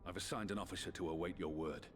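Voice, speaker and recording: Game role: male actor as commanding military leader, very low register, sincere and formal. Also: steady tone, fast, a little hiss or hum, natural voice. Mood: earnest, urging. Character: earnest, authoritative male military leader rallying an ally. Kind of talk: video game cutscene voice acting.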